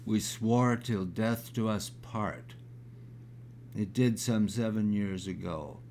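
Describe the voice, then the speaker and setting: Gravelly, slow older man in his sixties. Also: on the low side, a little mellow, slight background noise. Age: 60s. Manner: halting with fillers when talking, measured when reciting. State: crackly, gravelly voice. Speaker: poet. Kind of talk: reading his own poem aloud.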